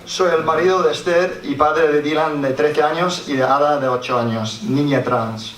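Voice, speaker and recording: A man's voice: a little high, steady tone, moderate pace, fairly bright tone. A man from Scotland with a Scottish accent, speaking Spanish as a second language, reads a prepared conference talk carefully and often restarts words. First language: English. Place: public hall. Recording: roomy hall, distant mic, faint noise.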